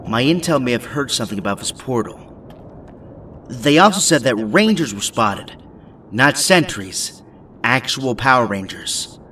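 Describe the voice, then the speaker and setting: A man's voice: medium pitch, expressive, a little slow, pushed and bright, slight background noise. A man playing an older, weary but principled resistance captain in an audio drama, grave and commanding.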